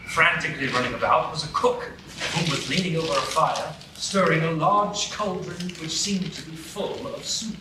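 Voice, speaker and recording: A man narrating a storybook tale in a measured, storytelling way, as part of a live radio play. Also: a little high, fairly even intonation. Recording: echoey hall, distant mic, noisy.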